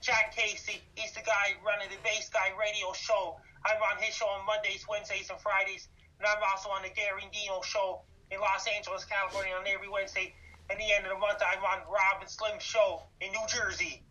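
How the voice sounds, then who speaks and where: A man in his thirties from Canada talks in an even, monotone way with a bright, forceful edge. He repeats facts and dates as he lists them. He comes through from a distance on a dull, muffled line. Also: high voice, brisk. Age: 30s. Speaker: guest, YouTuber. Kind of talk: interview answers.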